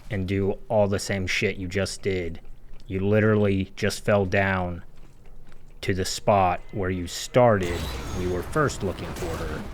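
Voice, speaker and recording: Low-voiced sarcastic man over background noise. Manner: sarcastic, exasperated, foul-mouthed. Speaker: video game critic. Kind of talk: informal analysis commentary over gameplay.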